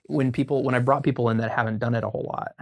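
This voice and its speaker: An American man chatting casually as a podcast guest, telling stories informally and haltingly as he thinks aloud. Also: medium pitch, fairly even intonation, vocal fry. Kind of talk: casual conversational storytelling.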